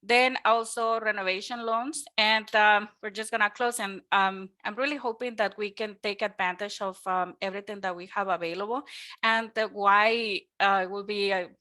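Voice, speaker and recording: Woman, Mexican Spanish accent, native Spanish speaker, upbeat presenter, lots of ums, clear bright tone. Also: medium pitch, steady tone, moderate pace. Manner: earnest, upbeat, frequent ums. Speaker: mortgage lender presenting a webinar. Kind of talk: webinar presentation.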